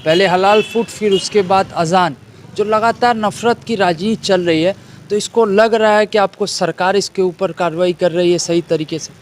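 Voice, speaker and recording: An Indian man working as a street interviewer, putting questions informally in halting phrases. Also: sits high, fairly even intonation, slight background noise.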